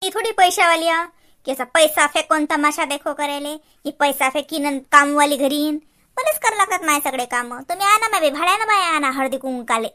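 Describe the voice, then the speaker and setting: A woman's voice: sits high, fairly quick, bright, forceful tone. A woman playing a sarcastic, chatty young daughter-in-law in a cartoon comedy, mocking and repeating herself in a Varhadi Marathi accent. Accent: Varhadi Marathi from Vidarbha. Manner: mocking, repetitive, gossipy. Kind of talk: exaggerated cartoon comedy acting.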